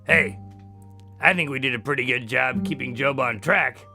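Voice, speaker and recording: Man acting a bright, gravelly, wry adventurer. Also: medium pitch, normal speed, soft background music. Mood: puzzled, questioning. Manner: casual, wry, questioning. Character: Adam, irreverent, questioning, informal male time-traveling adventurer. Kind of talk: radio drama acting.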